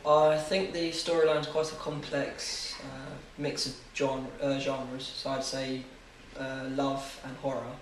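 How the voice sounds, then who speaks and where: Teen male interviewee, flat even delivery, halting with fillers; recorded far off in an echoey room. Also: mid-range voice, slight background noise. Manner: hesitant, filler-heavy. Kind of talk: casual answers.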